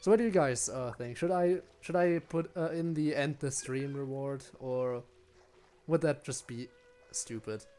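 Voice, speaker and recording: A man's voice: mid-range voice, measured pace, warm tone. A man giving casual, rambling live game commentary, musing aloud to his viewers. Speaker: game streamer.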